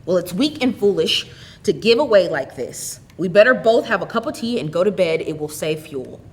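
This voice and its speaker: A woman's voice: lowish voice, animated, moderate pace, close to an everyday voice. Storybook widowed aunt, acted by a woman, worn-out and hard-working, with a broken, weary delivery. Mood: resigned.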